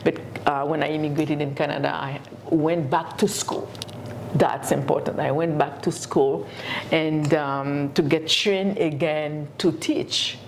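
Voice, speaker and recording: Middle-aged woman from Haiti, low voice, halting, lots of fillers, faint background noise. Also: steady tone, creaky. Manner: halting, many fillers and repeated words. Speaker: education professor and community leader. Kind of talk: interview answers.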